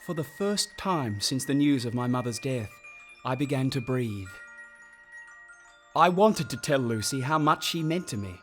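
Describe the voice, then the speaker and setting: A man's voice: mid-range voice, expressive, a little slow, fairly bright tone, faint music behind. An actor playing a reflective man narrating memories of his boyhood in a radio play, wistful and literary. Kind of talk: scripted first-person narration.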